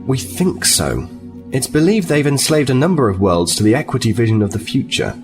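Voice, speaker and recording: An actor playing a seasoned Starfleet officer in an audio drama, composed and matter-of-fact. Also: male voice, mid-range voice, big pitch swings, a little fast, over music.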